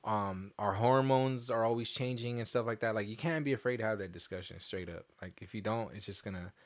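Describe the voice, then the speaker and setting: A man's voice: lowish voice, brisk, no echo. A young man co-hosting a podcast in a casual, slangy chat that swears freely. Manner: laid-back slangy talk, swears freely. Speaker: podcast co-host.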